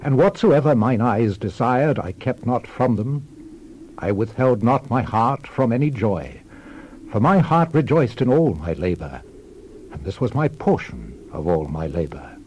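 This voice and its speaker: A man playing a world-weary, reflective old king in a solemn, measured dramatized scripture reading, deliberate and letting his phrases fall away. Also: mid-range voice, lively intonation, darkish tone, lo-fi sound, a little hiss or hum, natural voice. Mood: stately, reflective. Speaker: actor in a dramatized Bible reading.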